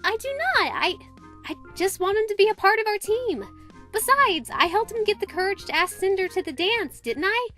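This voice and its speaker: A tiny, feisty teenage huntress played by a woman with a very high, bright voice. She is perky, animated and easily flustered, in audio drama style. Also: normal speed, faint music behind, full character voice. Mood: flustered, defensive. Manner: perky, indignant. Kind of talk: fan audio drama acting.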